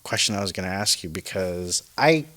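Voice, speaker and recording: A man's voice: low voice, animated, fairly quick, sharp tone. A man hosting a casual interview, asking rambling questions full of restarts and fillers. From Saudi Arabia and the United States. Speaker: talk show host. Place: in Riyadh.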